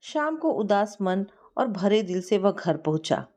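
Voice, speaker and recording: A woman narrating a scripted story in an expressive, sentimental manner. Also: medium pitch, unhurried.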